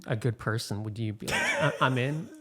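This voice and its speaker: A man's voice: medium pitch, moderate pace, sharp tone. A man hosting a casual podcast chat, telling stories and joking with his cohosts. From United States. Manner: laughs while telling stories, jokes with cohosts. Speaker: podcast host.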